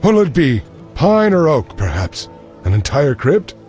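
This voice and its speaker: High-voiced, slow morbid undertaker. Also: male voice, very animated, darkish tone, soft background music. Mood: eager, morbid. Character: chatty male undertaker sizing up customers. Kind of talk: video game voice acting.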